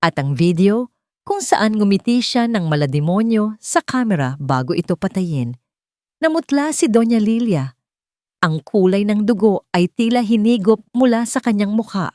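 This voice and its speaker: A woman storyteller reading scripted drama with suspenseful, emphatic delivery and dramatic pauses. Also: mid-range voice, animated, warm tone, no echo, studio quality.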